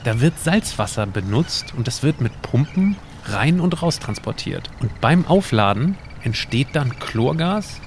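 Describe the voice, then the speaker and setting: A man's voice: medium pitch, very animated, unhurried, hardly any pauses, a little hiss or hum. A man playing a curious, chatty time traveller in an educational audio drama, explaining things in a conversational way. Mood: relaxed, explaining. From Baltic coast, northern Germany.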